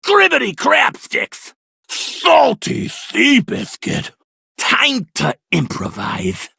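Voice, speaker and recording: Zany lobster warrior for a video game, raspy n bright, drawn-out hammy battle cries. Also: male voice, very high-pitched, huge pitch swings, no room sound, studio quality, exaggerated voice. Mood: cocky, theatrical. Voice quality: raspy, gravelly. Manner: theatrical, bellowed battle cries. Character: zany male lobster warrior, bombastic self-styled crustacean crusader. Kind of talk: video game character voice lines.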